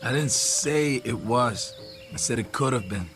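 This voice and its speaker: Radio drama: man voicing a deadpan joker of a soldier, music swelling underneath. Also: mid-range voice, a little slow, lightly acted. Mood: wry. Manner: dry, joking. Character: wisecracking soldier.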